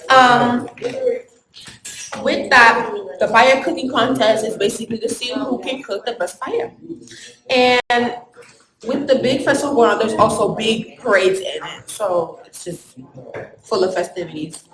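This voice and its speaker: A woman's voice: on the high side, a little slow, a crowd in the background. A woman giving a casual school presentation and explaining things with fillers along the way. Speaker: student presenter. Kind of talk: classroom presentation. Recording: echoey room with noise.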